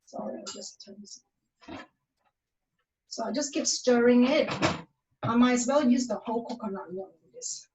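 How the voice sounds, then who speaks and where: A woman from Malaysia, giving a casual cooking demonstration in a halting way, full of fillers and restarts. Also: on the high side, a little mellow, a little hiss or hum, poor audio.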